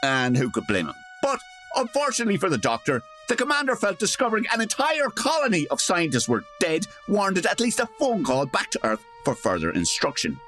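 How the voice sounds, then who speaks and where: A droll radio announcer, played by a man, narrating with polished, arch wit. The voice is fairly high and lively, over faint music. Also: a little fast, full character voice. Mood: wry. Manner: arch, tongue-in-cheek, polished. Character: droll, wry male old-time radio announcer-narrator. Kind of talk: scripted radio-play narration.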